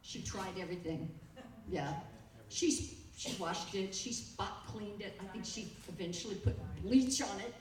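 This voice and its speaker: A woman presenting informally at a club meeting, telling stories and taking questions in a halting, pausing way. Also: mid-range voice. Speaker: presenter at a quilt guild meeting. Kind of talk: informal talk and audience questions. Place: echoey meeting room. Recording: echoey, distant mic, faint room noise.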